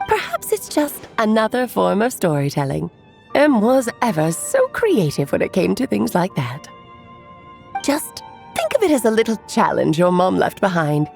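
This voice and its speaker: A woman's voice: mid-range voice, huge pitch swings, normal speed, creaky, a little mellow. A woman playing an elegant, playful adult witch who speaks in an airy, teasing, coy way in a video game cutscene. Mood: playful, breezy. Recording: faint music behind the voice.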